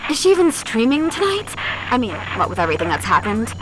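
An actress playing an excitable, adoring young female fan in an audio drama, gushing and eager. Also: on the high side, fast talker. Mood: eager, gossipy. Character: excitable, adoring young female superfan of a monster-fighting streamer. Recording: loud noise behind voice.

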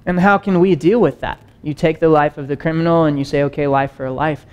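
A young man preaches in a loose, conversational way, moving around a stage as he talks. His voice sits a little higher than most men's, and his delivery stays lively and easygoing. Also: quick pace, smooth, dull audio. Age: young adult. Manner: animated, conversational, gestures widely while pacing. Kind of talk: teaching sermon. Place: stage with wood-panel backdrop and screen.

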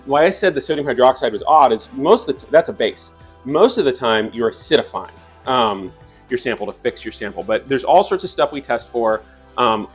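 A man in his thirties, a plant operator, talking through sampling steps in a relaxed way with lots of ums and restarts. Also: mid-range voice, slightly rough. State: slightly raspy from a cold. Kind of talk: instructional demonstration. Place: outdoors by trees.

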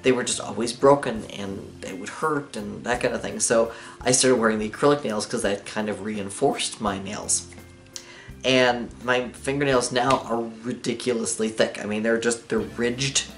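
A man's voice: medium pitch, fairly even intonation, moderate pace, soft background music. A young adult man vlogging casually to camera in a living room, rambling with lots of fillers and small laughs. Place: living room with lamp and couch, indoors.

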